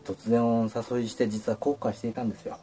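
A man's voice: medium pitch, level tone, brisk, mellow, faint music behind, close to an everyday voice. A man playing a polite, shy, earnest suitor in a romantic radio drama, with courteous and slightly bashful phrasing.

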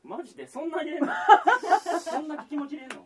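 A woman bantering casually between radio drama takes, teasing and interjecting quickly. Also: sits high, animated. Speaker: amateur radio drama cast member.

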